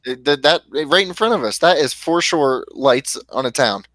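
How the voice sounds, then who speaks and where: A man's voice: fairly high pitch, expressive, normal speed, fairly bright tone, no room sound. A man in his late twenties hosting a livestream, joking and teasing his co-host. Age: 20s. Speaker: streamer host. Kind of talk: casual livestream chat.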